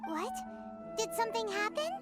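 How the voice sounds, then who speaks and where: Tiny game fairy girl, very high squeaky voice, swooping intonation, childlike, eager, music behind. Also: moderate pace. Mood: surprised, upset. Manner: childlike, excitable, speaks of herself in third person. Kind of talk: animated video game voice acting.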